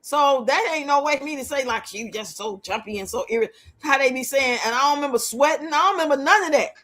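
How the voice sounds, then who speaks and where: Older lady hosting a livestream, high sharp voice, blunt and outspoken, real casual talk. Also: fast talker. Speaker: livestream host. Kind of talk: casual livestream chat with viewers.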